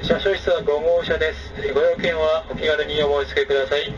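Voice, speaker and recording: A man's voice: fairly high pitch, flat delivery. Man announcing over a train PA. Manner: formal, measured, with frequent "e" fillers. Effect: public address speaker. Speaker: train conductor. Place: moving overnight sleeper train. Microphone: train public address system, recorded from a passenger seat. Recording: distant, dull, noisy 2006 recording of train PA. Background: train running noise.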